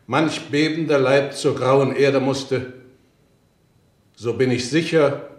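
Radio drama cleric, male actor: grave and forgiving, mid-pitched, slow sermon delivery, roomy echo. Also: lightly acted. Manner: solemn, preaching, deliberate. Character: grave clergyman granting absolution to a condemned man. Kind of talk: German radio play acting.

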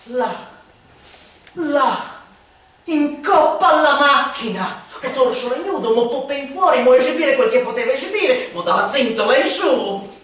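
A woman delivering a satirical radio monologue as a stage actress, declamatory and mocking, with drawn-out emphatic words and exaggerated swoops. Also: high-pitched, fairly bright tone, a little hiss or hum. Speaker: stage actress reciting a literary text. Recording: very echoey, distant from the mic.

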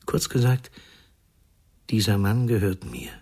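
A shady informer in a radio crime play. His lowish voice is extremely breathy and rough. He insinuates rather than states, keeping control with quiet menace. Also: very animated, a little slow, full character voice. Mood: quietly menacing. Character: shady, insinuating informer, a man pursuing his own interests. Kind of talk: German radio crime drama dialogue.

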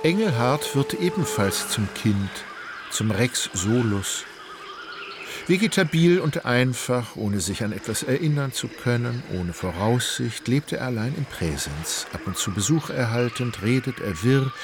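A man narrating a literary radio drama in a measured, deliberate and wry way. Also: mid-range voice, expressive, background noise. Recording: loud music behind the voice. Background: music.

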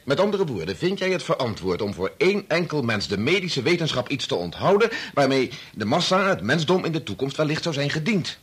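A man's voice: a little high, very animated, fairly quick, hardly any pauses, slightly rough, exaggerated voice. An actor in a Dutch radio play, playing a bitter, impatient young man who argues and stammers when thrown. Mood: challenging. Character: bitter, impatient son working on his father's medical experiment.

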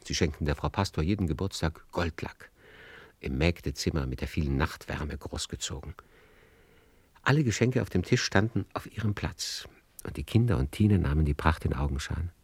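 A man with a deep voice narrates a radio play as a literary storyteller. His delivery is measured and lyrical, recorded close and dry with no room sound. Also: animated, darkish tone, husky, no room sound.

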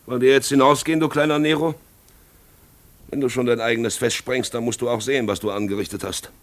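An actor playing an urbane, wry and gently taunting confidant of an aristocrat in a 1950s German radio drama. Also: male voice, medium pitch, steady tone, fast talker, close to an everyday voice. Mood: mocking.

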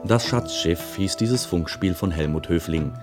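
A man's voice: deep voice, measured pace, over loud music. A man announcing for radio, formally reading out end credits.

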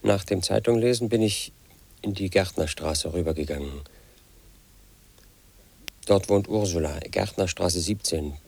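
A lonely, paranoid 38-year-old loner. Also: male voice, low-pitched, unhurried, gravelly, long pauses, darkish tone, slight background noise. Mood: brooding. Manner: pedantic, confiding, obsessed with statistics and codes. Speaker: lead actor in a radio play. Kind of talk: first-person radio drama monologue.

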